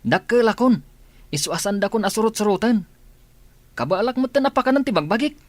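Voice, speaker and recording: A man's voice: high voice, expressive, brisk, no room sound. An actor with a Filipino accent playing a stubborn young male porter in a radio drama. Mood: defiant.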